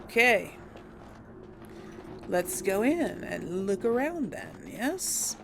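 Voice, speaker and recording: Slow, playful woman playing a game. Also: medium pitch, very animated, creaky, noisy background. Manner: playful, chatty, laughs often. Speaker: let's play host. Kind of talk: casual game commentary and role play.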